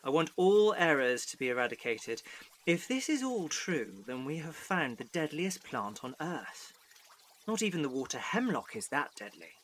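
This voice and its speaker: A man's voice: on the high side, very animated, normal speed, slight background noise. A man playing a stern, perfectionist science professor in an audio drama. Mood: insistent. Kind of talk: fan audio drama acting.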